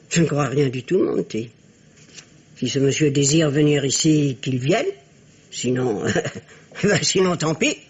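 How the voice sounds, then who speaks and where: A woman playing a stern but fond aristocratic old grandmother in a radio drama, speaking in a formal, admonishing, imperious way with a husky voice. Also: deep voice, a little slow, long pauses, darkish tone. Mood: guarded. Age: older. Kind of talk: French radio drama acting. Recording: dull, muffled old radio recording.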